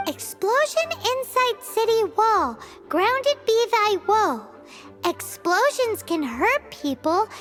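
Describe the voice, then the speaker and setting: A woman voicing a tiny, cheeky fairy companion in a video game, childlike and squeaky, with an exuberant way of talking. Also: very animated, slow pace, a bit bright, heavily acted. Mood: curious, alarmed. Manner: exuberant, speaks of herself in third person. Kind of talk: animated video game voice acting. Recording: background music.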